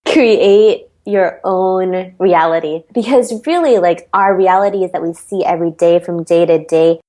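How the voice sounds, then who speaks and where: A woman with an American accent answers interview questions as a performance coach. She is reflective and measured and often pauses on an um, and her voice sits slightly low. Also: mellow. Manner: reflective, measured, frequent ums.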